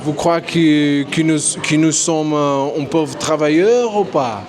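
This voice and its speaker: A man's voice: fairly high pitch, unhurried, a crowd in the background. A man from Portugal with a Portuguese accent, speaking politely and casually as a street interviewer and video host. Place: outdoors on a city street. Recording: noisy outdoor street with traffic. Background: clear street and vehicle noise.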